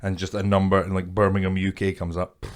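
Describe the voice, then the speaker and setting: A man co-hosts a laid-back podcast, wandering through his stories in a somewhat low voice. He pokes fun at himself and laughs easily along the way. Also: completely flat delivery, fast. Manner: rambling, self-deprecating, laughs easily. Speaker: podcast co-host. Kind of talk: casual chat.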